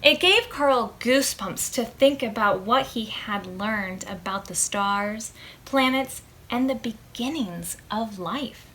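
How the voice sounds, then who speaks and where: Slow, high-voiced woman reading to preschoolers. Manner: expressive, with questions to young listeners and drawn-out words. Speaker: librarian storytime host. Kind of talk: animated picture-book read-aloud for preschoolers.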